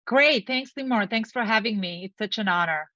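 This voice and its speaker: A woman's voice: mid-range voice, normal speed, bright, forceful tone, dry recording. Earnest Bulgarian-born woman on a dull, dry line. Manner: earnest, reflective, speaks in long lists. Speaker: guest, company founder. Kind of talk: interview conversation.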